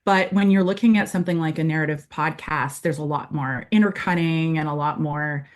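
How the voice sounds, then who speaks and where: A chatty woman speaking as a guest in a casual interview. Her voice sits a little low, and she talks loosely with many fillers, breaking into quoted lines now and then. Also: a little fast. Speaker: co-writer, guest.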